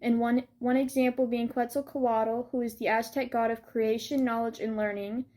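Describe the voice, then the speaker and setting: A woman's voice: fairly high pitch, little pitch movement, moderate pace, warm tone. A young woman giving a scripted history presentation in the role of a soldier. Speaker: student presenter playing a Janissary.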